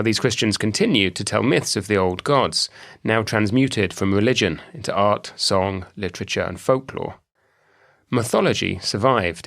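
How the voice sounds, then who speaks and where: A man with a British accent hosting an academic podcast, reading a measured scripted introduction and asking questions. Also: lowish voice, gravelly, fairly bright tone. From British Isles. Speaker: podcast host. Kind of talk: scripted intro then interview.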